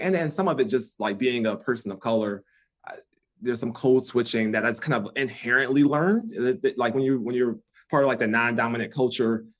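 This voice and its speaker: A man with an American accent, a guest on a relationship podcast, talking casually with frequent restarts and fillers. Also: on the low side. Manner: rambling with many restarts and fillers. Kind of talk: casual conversation.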